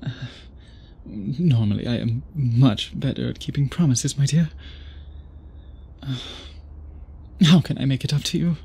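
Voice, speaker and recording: A man playing an obsessive, courtly vampire suitor in an intimate ASMR roleplay, breathy and husky, with formal old-fashioned phrasing. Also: medium pitch, dark tone, exaggerated voice. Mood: tender, apologetic. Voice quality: breathy, husky, often whispery.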